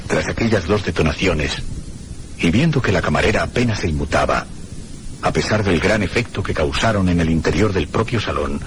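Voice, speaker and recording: An actor playing a reflective gentleman who narrates a mystery in a measured, literary radio drama style. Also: low-pitched, animated, noisy background. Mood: reflective, grave. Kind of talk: scripted first-person radio drama narration.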